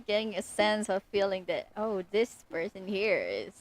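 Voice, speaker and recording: A woman's voice: medium pitch, normal speed, no echo. A woman livestreamer giving casual, murmured and giggly reactions to a game. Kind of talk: casual live game commentary.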